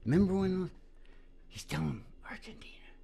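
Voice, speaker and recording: A man's voice: high-pitched, animated, moderate pace, vocal fry, warm tone, breathy edge. A man chatting casually and ramblingly between songs as host of a live music show, folksy and often chuckling.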